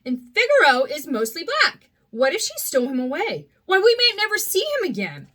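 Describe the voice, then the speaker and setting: Woman voicing a wooden puppet boy, high and fearful, lively storybook voice. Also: a little fast, heavily acted. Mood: frightened. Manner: high, excited and frightened. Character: scared wooden boy puppet, eager and jumpy.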